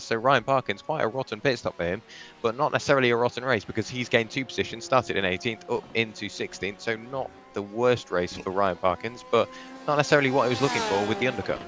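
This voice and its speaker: A man co-commentating a live sim race with steady, analytical play-by-play. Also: lowish voice.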